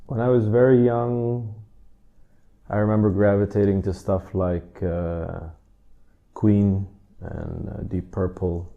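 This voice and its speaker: A man in his thirties from the Netherlands, a drummer answering interview questions in a relaxed, rambling way with many asides. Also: fairly low pitch, slow, gravelly, narrow, tinny audio. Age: 30s.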